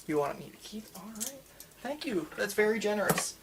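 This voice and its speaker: ASMR store worker, mid-pitched and creaky, halting casual chat, enthusiastic fan, slight ambient noise. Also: very animated. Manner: rambling, halting, enthusiastic about anime. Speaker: manga store worker in a roleplay. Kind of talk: ASMR roleplay, casual customer-service chat.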